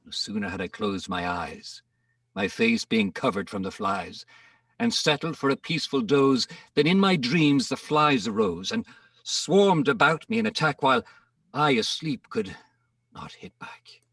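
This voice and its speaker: A man giving a theatrical dramatic reading of rhymed verse, with deliberate pauses, recorded in an echoing room at some distance from the microphone. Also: mid-range voice, animated, fairly bright tone. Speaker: poetry reader. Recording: roomy echo, distant microphone.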